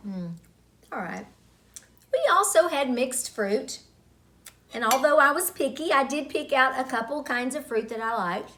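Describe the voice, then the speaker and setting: A middle-aged woman telling a childhood story to children in a deliberate, expressive manner with dramatic pauses. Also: sits high.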